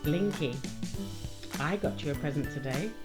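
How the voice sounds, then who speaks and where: A woman's voice: deep voice, normal speed, loud music behind the voice. A woman presenting a children's puppet show, coaxing in a sing-song way with simple phrases and questions. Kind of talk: children's entertainer talk to a puppet and young audience.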